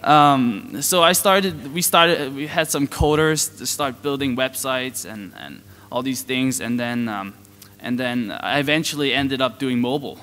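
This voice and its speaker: A man, giving a halting conference talk with many fillers and light chuckles. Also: medium pitch, sharp tone. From Denmark. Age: 20s. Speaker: panel speaker. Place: conference hall. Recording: reverberant large hall.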